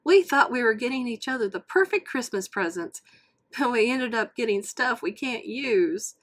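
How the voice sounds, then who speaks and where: Woman doing a friendly race car hero, earnest, kinda high voice. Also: animated, normal speed, fairly bright tone. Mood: warm. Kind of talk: storybook read-aloud.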